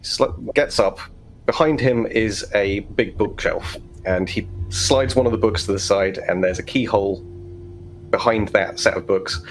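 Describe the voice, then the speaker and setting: A man's voice: on the low side, unhurried, faint music behind, low-quality recording. A man narrating a tabletop roleplaying game as the game master.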